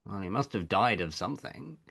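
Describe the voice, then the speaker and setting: An actor playing a brusque, sceptical police detective in a stage play, speaking in a blunt, probing way. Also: male voice, lowish voice, expressive, fairly quick, gravelly, fairly bright tone, husky, heavily acted.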